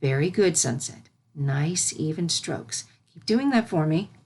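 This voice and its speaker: Lady doing a calm royal mare teacher, lowish voice, soft measured, cartoony. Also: a little hiss or hum. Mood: calm, instructing. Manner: gentle, measured instruction. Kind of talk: cartoon fan fiction character reading.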